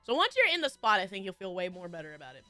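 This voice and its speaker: A woman's voice: mid-range voice, huge pitch swings, very fast, pushed and bright, no echo, faint music behind. A woman streamer with a stuffy nose, chatting casually with her viewers in a bubbly, rambling way with frequent giggles.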